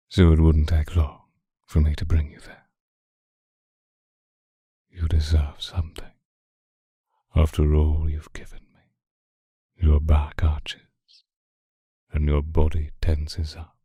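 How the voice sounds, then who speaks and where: An extremely low, dark-toned male vampire, acted by a man, murmurs seductively right at the microphone in an ASMR roleplay. His voice is very breathy and husky, often sinking to a whisper. He is possessive and teasing, taking his time with each phrase. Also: no room sound, heavily acted. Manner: slow, teasing, deliberate. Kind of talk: intimate ASMR roleplay monologue addressed to listener. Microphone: close microphone, dry.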